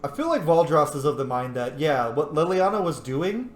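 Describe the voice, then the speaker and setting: A man's voice: fairly high pitch, big pitch swings, moderate pace. A man hosting a game playthrough with informal, opinionated roleplay commentary. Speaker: gaming host. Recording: roomy echo, game music behind.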